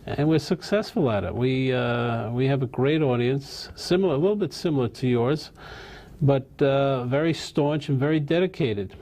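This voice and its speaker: A man's voice: medium pitch, moderate pace, dark tone. A man hosting a talk show, in a plain mid-range voice. He chats loosely with his guest, teasing him and rambling along with fillers. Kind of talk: casual interview chat.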